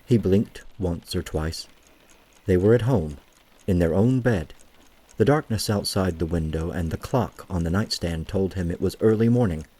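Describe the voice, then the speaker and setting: A man narrating a scripted story for an audio drama, with a husky voice and a measured, gentle storytelling manner. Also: sits low.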